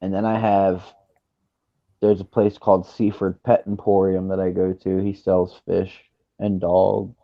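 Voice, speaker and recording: A man's voice: deep voice, little pitch movement, a little slow, gravelly, no room sound. A man around thirty on a gaming headset, chatting casually and rambling with fillers. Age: 30s. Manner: laid-back, rambling with fillers. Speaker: streamer. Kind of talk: casual chat. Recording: dull lo-fi stream audio.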